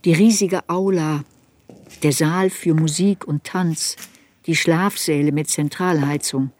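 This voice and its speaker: A woman's voice: low voice, fairly even intonation, takes it slowly, a little mellow. An actress playing an adult woman memoirist who searches her girlhood unsparingly, in literary radio play narration. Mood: measured, descriptive.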